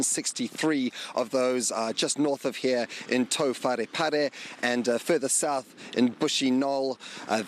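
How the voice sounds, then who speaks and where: A man with a New Zealand accent reporting for television news from out in the field. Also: mid-range voice. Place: outdoors on location by damaged roads.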